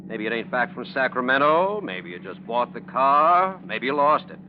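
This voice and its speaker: An actor playing a brusque, sardonic, suspicious police officer in a 1940s radio drama, talking in tough slang. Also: male voice, medium pitch, big pitch swings, moderate pace, lightly acted. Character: gruff, streetwise, suspicious male police officer. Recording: muffled, noisy old radio recording.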